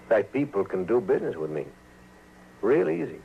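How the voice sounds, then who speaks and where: Crooked frontier sheriff, folksy menace, dull and faintly hissy old radio recording. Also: male voice, lowish voice, very animated, normal speed, gravelly. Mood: oily, persuasive. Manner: folksy, slyly threatening. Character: corrupt, smooth-talking, menacing frontier sheriff. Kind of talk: old-time radio western acting.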